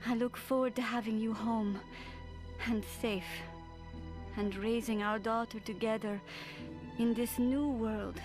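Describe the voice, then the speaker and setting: A woman's voice: a little high, fairly even intonation, unhurried, pauses often, natural voice. An actress playing a loving young Russian wife and new mother, tenderly voicing a letter in a game cutscene. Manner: tender, affectionate. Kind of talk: letter read as game voice-over. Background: music.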